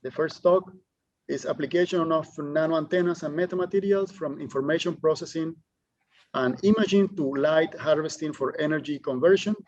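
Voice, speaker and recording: A man introducing a seminar speaker in a halting way, with fillers and self-corrections. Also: fairly high pitch, darkish tone, dry recording, dull audio. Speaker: seminar moderator.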